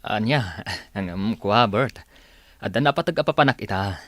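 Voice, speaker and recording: Man acting as mild, animated village neighbour. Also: on the low side, normal speed, dry recording. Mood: hesitant, apologetic. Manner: hesitant, conciliatory. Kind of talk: Ilocano radio drama acting.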